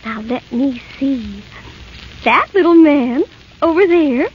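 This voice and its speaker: A woman's voice: high-pitched, lively intonation, slow pace. A woman playing a sweet, innocent young princess in 1930s radio drama, speaking in polite, earnest exclamations. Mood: delighted. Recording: dull, noisy 1930s radio broadcast.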